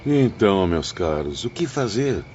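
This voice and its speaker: A thoughtful spirit student in an audiobook drama, acted by a man, with a low and expressive voice. He speaks formally and ponders among friends. The sound is slightly muffled, with crickets in the night behind him. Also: unhurried, slight background noise. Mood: pondering.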